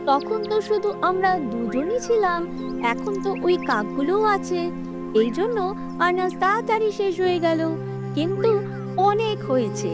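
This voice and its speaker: A woman's voice: very high voice, heavily acted. A woman voicing a sensible, firm mother bird in a children's cartoon, speaking in a matter-of-fact way. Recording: music under the voice.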